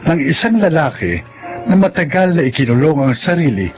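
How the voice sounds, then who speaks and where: A man's voice: a little high, sing-song, a little fast, muffled recording. A man with a Filipino accent reading scripted narration as a radio drama announcer. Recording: loud music under the voice.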